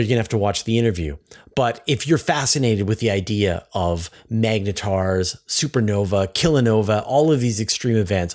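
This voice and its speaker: A man's voice: fairly low pitch, a little mellow. A man hosting a conversational science interview. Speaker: interview host.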